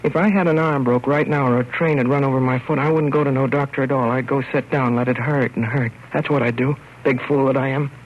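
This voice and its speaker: An actor playing a lovesick, self-reproaching young working-class American fellow in a slangy, plain-spoken 1930s radio monologue. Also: male voice, medium pitch, steady tone, fast talker, few pauses, slight background noise, lightly acted. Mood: rueful, self-mocking. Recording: muffled old radio broadcast.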